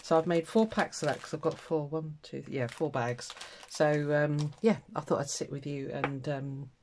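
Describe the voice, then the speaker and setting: A woman's voice: low voice, steady tone, normal speed, darkish tone, a little hiss or hum. An older woman with a cold and a stuffy nose, chatting casually to her viewers while she packs sewing kits at a table. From England. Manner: chatty, self-deprecating, talks while working with her hands. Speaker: craft channel host. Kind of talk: casual chat while crafting. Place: indoors at a table, hands-only overhead camera.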